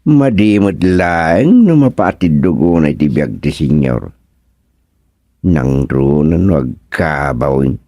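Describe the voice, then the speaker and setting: Man acting a slow, muttering older Ilocano father-in-law. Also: rumbling low, animated, dark tone, no room sound, studio quality, exaggerated voice. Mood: grumbling, musing. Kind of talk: Ilocano radio comedy drama acting.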